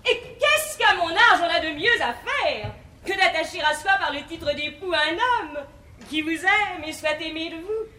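An actress playing a sensible, modest young sister with sweet irony, in poised, measured classical stage verse. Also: female voice, extremely high pitch, lively intonation, pushed and bright, heavily acted. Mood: gently ironic. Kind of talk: 1950s French classical stage comedy acting. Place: large theatre stage. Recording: echoey theatre stage recording.

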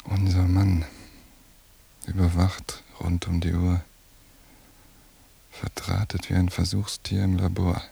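A low, gravelly surveillance watcher speaks his thoughts in a radio play. He is slow, cold and detached, with contempt under a husky, flat delivery. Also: full character voice. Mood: cold disgust. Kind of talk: literary radio drama interior monologue.

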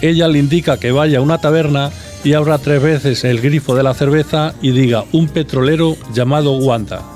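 Radio host guy reading his script, slightly high voice, music playing behind. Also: moderate pace, a little mellow. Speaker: radio presenter.

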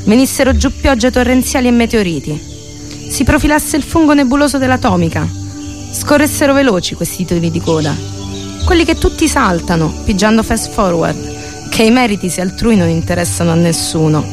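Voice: medium pitch, animated, fairly quick. A performer reciting a poetic monologue in a theatrical, literary manner. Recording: music behind the voice. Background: music.

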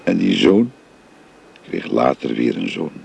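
A gravelly, very deep-voiced old father. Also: very animated, a little slow, darkish tone, slight background noise, exaggerated voice. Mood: gentle, confiding. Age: older. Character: older father telling his family history. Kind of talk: radio drama acting. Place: recorded on location.

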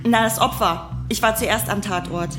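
A woman's voice: medium pitch, moderate pace, over music, natural voice. A young woman playing a blunt, stubborn police trainee in a naturalistic radio drama, speaking curtly and dryly. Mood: matter-of-fact. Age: young adult. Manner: curt, dry, colloquial.

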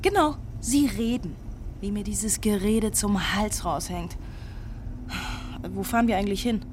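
A woman playing a defiant, sharp-tongued woman in an audio drama, sarcastic and exasperated with the people helping her. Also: mid-range voice, measured pace, a little hiss or hum, lightly acted. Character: defiant, impatient young woman seeking help, sharp-tongued. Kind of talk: naturalistic German audio drama acting.

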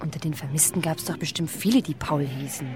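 An actress playing a brisk, blunt young policewoman in a radio drama set in 1910s Berlin. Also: sits low, natural voice. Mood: thoughtful. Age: young adult. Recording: clear vehicle and ambience noise behind.

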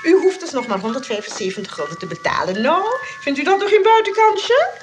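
A woman's voice: high-pitched, sing-song, brisk, over music. An actress playing a chatty, grasping shopkeeper in a Dutch radio play, coy and wheedling as she feigns kindness. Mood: haggling, insistent. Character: chatty, grasping female souvenir shopkeeper, feigning kind-heartedness.

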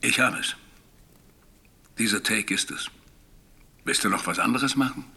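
A matter-of-fact, obliging sound engineer in a radio drama. He is laconic and businesslike, with a very sharp, raspy, gravelly voice on the lowish side. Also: unhurried, exaggerated voice. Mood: easygoing, businesslike. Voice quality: gravelly, rough.

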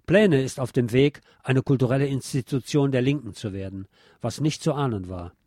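A man's voice: medium pitch, normal speed, darkish tone, dry recording. Dry-sounding man narrating a documentary. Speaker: radio show presenter.

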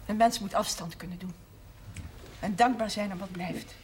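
An actress playing a middle-aged, emotional but steadfast mother in a 1970s TV drama, speaking in measured, earnest phrases. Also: medium pitch, lightly acted. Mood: composed, solemn. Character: steadfast, emotional mother of a large Limburg family. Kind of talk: 1970s television drama acting. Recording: noisy old TV soundtrack.

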